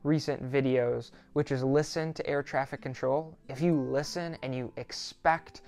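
A teenage young man hosts an explainer in a conversational, reassuring manner. His voice sits at a middle pitch, and he is recorded indoors on a close mic. Faint music plays underneath. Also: fairly even intonation, a little slow, a little mellow. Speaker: student pilot YouTuber, host. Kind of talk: explanatory talk to camera. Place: small quiet home office, indoors.

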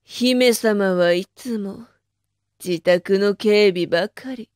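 A woman playing a sleep-talking nurse who scolds drowsily with gentle menace in a comic anime voice drama. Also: medium pitch, takes it slowly, dry recording, clean studio sound, lightly acted. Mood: sleepy scolding.

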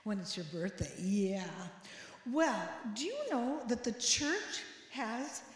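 A woman's voice: fairly high pitch, lively intonation, slow pace. An older woman leads an interactive children's sermon, asking questions and repeating back the answers. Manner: asks children questions and echoes their answers. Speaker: children's message leader at church. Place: large church sanctuary. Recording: echoey church hall with long reverb.

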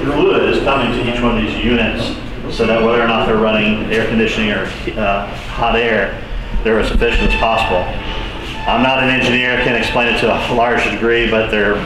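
A middle-aged man with a lowish voice walks a group through a gutted building, explaining the work in a relaxed way with plenty of ums and uhs. He is heard from a distance in a bare, strongly echoing room with a long reverb tail. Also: noisy background. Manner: casual and explanatory, with frequent fillers. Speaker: architect leading a tour. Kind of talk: walking tour explanation. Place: large gutted building under renovation. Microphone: camera from a distance. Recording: echoey bare room from far off.

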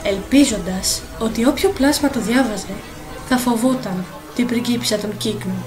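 A woman narrator reading a dramatic, scripted fairy tale for an animated film. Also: fairly high pitch. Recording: voice over clear film music.

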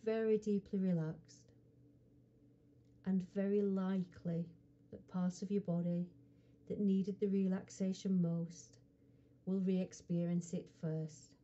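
A woman hypnotherapist guiding a relaxation session in a soothing, unhurried manner, pausing often between short phrases. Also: medium pitch, little pitch movement, warm tone, dull audio. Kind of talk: guided hypnosis relaxation script.

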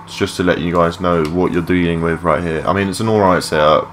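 A young man with a low voice giving rambling, matey, sweary gameplay commentary in a casual unscripted way. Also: fairly even intonation, quick pace, a bit bright. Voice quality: low-pitched voice. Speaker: gaming video creator. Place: his room at home. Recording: clearly noisy home mic recording.

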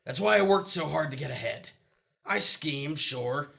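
A man playing a dead, abusive hustler of a husband in an audio drama, pleading and justifying himself in a gravelly voice. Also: fairly high pitch, expressive, unhurried, fairly bright tone, exaggerated voice. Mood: pleading, cajoling.

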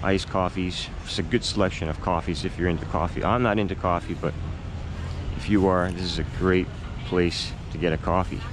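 A man giving casual vlog narration in halting, short, repetitive phrases as he shows a place around. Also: low-pitched, steady tone. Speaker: vlogger presenting a restaurant. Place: outdoors on a city street, later in a cafe. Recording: loud street traffic and ambient noise.

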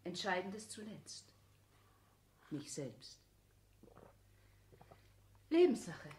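An actress in a 1960s German radio play, voicing a shrewd, wounded mistress and mentor with pointed delivery. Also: female voice, mid-range voice, big pitch swings, very slow, dark tone, breathy edge, exaggerated voice. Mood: reproachful. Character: shrewd, wounded, worldly female lover and mentor.

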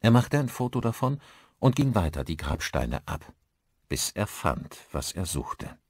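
Male narrator for horror audiobook. Low voice, dry close sound, scripted reading. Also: very animated, a little slow, a little mellow, breathy edge, dry recording.

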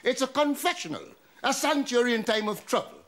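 An actor with a Guyanese accent playing a pompous, boastful older barber in a sitcom. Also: male voice, very high-pitched, expressive, brisk, fairly bright tone. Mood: grandiose. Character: boastful older male barber, self-important. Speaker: barber shop owner. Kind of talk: sitcom acting.

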